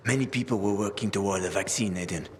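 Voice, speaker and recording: An actor playing a weary, wry middle-aged survivor guide in a video game, hushed and plain-spoken. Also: male voice, on the low side, fast, a little hiss or hum, lightly acted. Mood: weary, cynical. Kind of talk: video game cutscene acting.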